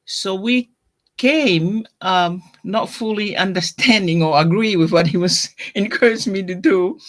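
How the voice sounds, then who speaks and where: An older woman from Tonga with a Tongan accent, telling her personal story in an interview in a reflective, halting way. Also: fairly low pitch, a bit bright. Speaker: deacon, interview guest.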